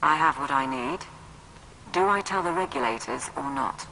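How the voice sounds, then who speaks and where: An actor playing a brisk, wry intelligence operative in a video game, briefing a commander in a glib, informal way. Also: medium pitch, lively intonation, creaky, pushed and bright, a little hiss or hum, rough recording. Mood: calculating. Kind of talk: video game cutscene acting. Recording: music behind.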